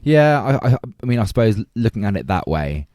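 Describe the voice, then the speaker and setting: A man's voice: lowish voice, very animated, fairly quick, darkish tone, no echo, studio quality. A man hosting a casual film discussion, thoughtful and hesitant, repeating words as he builds his points. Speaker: podcast host.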